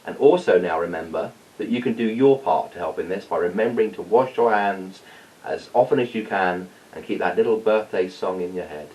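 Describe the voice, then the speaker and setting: A man's voice: low-pitched, fairly quick, darkish tone. A man speaking as a teacher, telling a story and chatting encouragingly to children. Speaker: teacher reading a story to pupils. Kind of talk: storytelling and informal address to children. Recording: dull sound, distant from mic.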